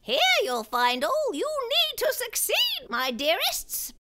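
A woman's voice: very high-pitched, wildly swooping pitch, slow pace, very noisy, voice pushed up, exaggerated voice. A woman voicing a jolly, doting fairy godmother in a storybook, with trilling theatrical laughter. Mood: jolly, excited.

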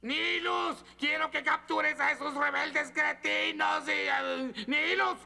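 Shrill, vain Roman tyrant. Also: male voice, steady tone, slow pace, creaky, full character voice. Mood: outraged. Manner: theatrical, ranting. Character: vain, tyrannical Roman emperor who demands worship. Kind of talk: exaggerated cartoon villain dubbing. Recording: loud music behind the voice.

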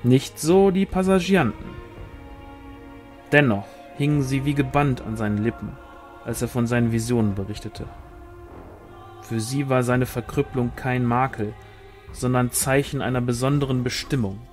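Male audiobook reader, mid-pitched voice, narrating fantasy fiction with music underneath. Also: normal speed, a little mellow. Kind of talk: scripted narration of a fantasy novel.

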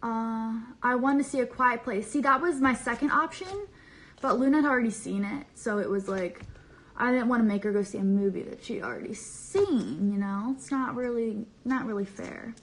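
A woman's voice: fairly high pitch, normal speed, poor audio. A young woman chatting casually on a livestream from her bedroom, reading viewer comments aloud in a bubbly, playful, rambling way full of slang. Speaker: social media creator on a live stream. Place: bedroom, small indoor room. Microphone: phone camera at arm's length.

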